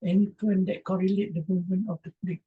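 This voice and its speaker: A man's voice: sits high, little pitch movement, quick pace, breathy edge, narrow, tinny audio. A man from Malaysia, presenting online and taking audience questions, reading them aloud and answering with hesitant fillers. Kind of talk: Q&A after an online talk. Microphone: video call.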